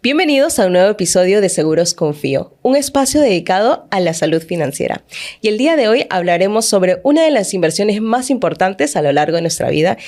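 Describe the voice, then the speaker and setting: A woman's voice: mid-range voice, darkish tone. A woman hosting a podcast interview, talking conversationally and ending points with tag questions. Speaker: podcast host.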